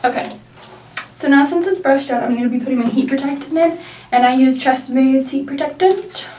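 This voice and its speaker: A woman's voice: high-pitched, brisk, a little mellow, recorded from a distance, slight background noise. A young woman with a nasal voice from a sinus infection, chatting through a hair tutorial with many likes and ums. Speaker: beauty vlogger. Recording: echoey room, mic set back.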